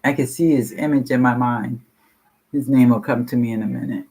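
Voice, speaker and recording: An older woman in her sixties speaks with a deep, low voice that has a husky grain. She rambles frankly through a personal share at a support meeting and swears casually as she goes. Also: fairly even intonation, fairly quick, mellow. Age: 60s. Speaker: meeting participant sharing.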